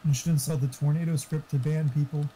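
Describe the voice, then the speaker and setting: A man with a fairly high voice shoots the breeze on a gaming voice chat. He is crude and jokey, swearing freely, with faint noise behind him. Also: fairly even intonation, fast talker, mellow, low-quality recording. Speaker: streamer's gaming friend on voice chat. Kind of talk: casual chat.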